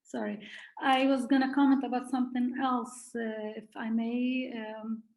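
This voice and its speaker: A middle-aged woman, a therapist, offering reflective remarks in a group discussion in a hesitant, measured way. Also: on the high side, level tone, darkish tone.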